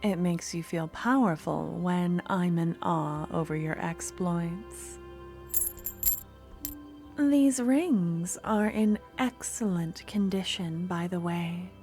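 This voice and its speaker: A woman playing a doting possessive shopkeeper. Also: fairly low pitch. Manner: soft, intimate, slips into whispers. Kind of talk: ASMR audio roleplay.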